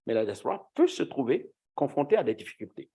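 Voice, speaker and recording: A man's voice: mid-range voice, very animated, fast talker, mellow, husky. Guy on a conference panel, earnest, keeps restarting his sentences. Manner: earnest, hesitant with frequent restarts. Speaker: panel speaker, former diaspora official.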